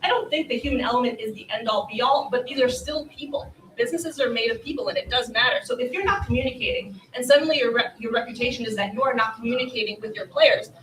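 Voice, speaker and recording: A woman's voice: fairly high pitch, fast talker, hardly any pauses, a bit bright. A woman talking sports on a live panel, making her case in an emphatic, conversational way. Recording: echoey live room, distant from mic.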